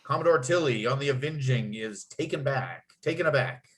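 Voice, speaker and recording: A man's voice: medium pitch, expressive, brisk. A man reading screenplay stage directions as the narrator of a table read.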